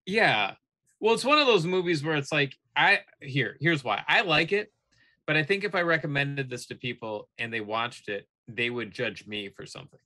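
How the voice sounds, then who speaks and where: A man's voice: mid-range voice, normal speed, sharp tone. A man in his thirties chatting casually as co-host of a film podcast. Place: indoors, small room.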